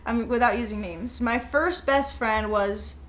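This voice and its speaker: A young woman co-hosting a podcast, chatting casually in a halting, self-deprecating, informal way. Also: fairly high pitch. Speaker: podcast co-host. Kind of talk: casual conversational chat.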